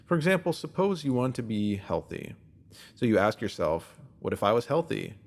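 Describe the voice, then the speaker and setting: A man reading a scripted self-help spiritual piece as narrator, in a measured, instructive way that addresses the listener directly. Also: mid-range voice, sing-song.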